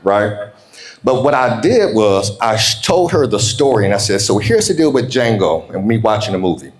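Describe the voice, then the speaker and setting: An American man in his forties giving a motivational keynote, telling stories emphatically with dramatic pauses and colloquial call-outs to his audience. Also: lowish voice, very animated, reverberant. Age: 40s. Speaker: keynote speaker, business coach.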